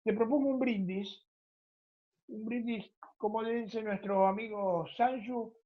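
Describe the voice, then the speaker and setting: A man's voice: high-pitched, fairly even intonation, unhurried, phone-quality sound. A man with an Argentine accent hosting a conversational radio programme, speaking in an informal, affectionate way. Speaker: radio host and interviewer. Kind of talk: conversational radio interview with closing book recommendation.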